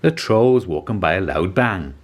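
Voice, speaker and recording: A man reading a children's story aloud as an expressive narrator. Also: low voice, moderate pace. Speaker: storybook reader.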